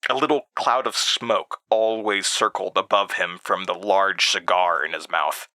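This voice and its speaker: A man's voice: low-pitched, fairly even intonation, measured pace, bright, forceful tone, no echo. An actor playing an uneasy man who recounts childhood memories in a confiding, hesitant audio drama monologue. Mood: wry, reflective.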